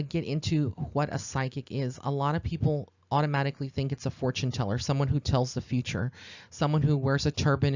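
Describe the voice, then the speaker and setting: Male podcast host, American, medium pitch, earnest rambling explainer, dry and slightly dull recording. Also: darkish tone, no room sound. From Texas, United States. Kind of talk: informal spoken podcast monologue.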